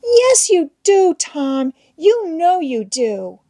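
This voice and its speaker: A woman's voice: sits high, sing-song, measured pace, dark tone, husky, pitched above the performer's own voice, exaggerated voice. A woman voicing a shy, sweet, hesitant little schoolgirl in an audiobook, timid and childlike. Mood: hurt. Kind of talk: audiobook character voice.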